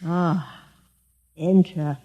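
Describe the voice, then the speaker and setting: A suspicious guardian of a holy man's door for 1950s radio. The voice is high and slow, guarded, sounding muffled in a long echoing hall. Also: steady tone, noisy background. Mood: guarded, softened. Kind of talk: 1950s radio drama acting.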